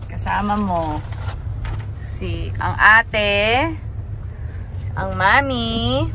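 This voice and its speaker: Giggly Filipino woman in a car. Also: medium pitch. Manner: giggly, feeds prank lines. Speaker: family vlogger. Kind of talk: casual chat. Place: inside a car. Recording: loud car noise and chatter.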